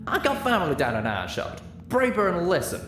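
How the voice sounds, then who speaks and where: A man's voice: sits high, wildly swooping pitch, brisk, roomy sound, background noise, pitched above the performer's own voice. A man voicing an older cartoon-style pony mare in an audiobook, a folksy, emphatic country boss, strained with fury and heartbreak. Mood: angry, determined.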